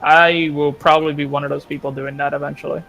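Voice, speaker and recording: Creaky, flat-toned man in gaming chat. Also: on the high side, fast talker. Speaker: gamer friend on voice chat. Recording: noisy voice chat mic.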